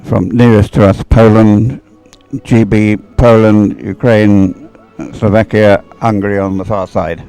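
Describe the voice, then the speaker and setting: A male sports commentator calls a race live in a low-pitched voice. It has a noticeably creaky, gravelly grain, and faint music plays behind him. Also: flat delivery, takes it slowly, warm tone, low-quality recording.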